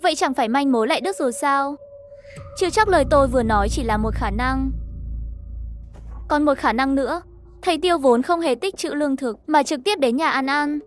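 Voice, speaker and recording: High voiced woman narrator reading a horror story recap script, bit of music behind her. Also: a little fast. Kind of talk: scripted story narration with dialogue read by one voice.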